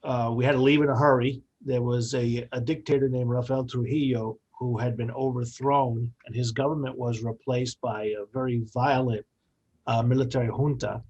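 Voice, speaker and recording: A mature man speaks as a guest in a radio interview at a medium pitch. He explains things in an articulate, measured manner, telling his story conversationally with small pauses. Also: level tone, a little mellow, husky, muffled recording. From born in the Dominican Republic, grew up in New York. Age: middle-aged or older.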